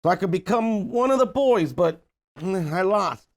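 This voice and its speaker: A man's voice: sits high, animated, normal speed. A man playing a desperate, scheming middle-aged uncle in a comedy table read, blustering and pleading.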